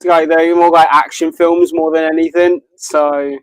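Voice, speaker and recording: Higher-voiced man bantering on a podcast. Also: little pitch movement, unhurried, smooth, no echo, poor audio. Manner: crude, self-deprecating joking, swears freely. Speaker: podcast co-host. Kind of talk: casual chat, watch party banter.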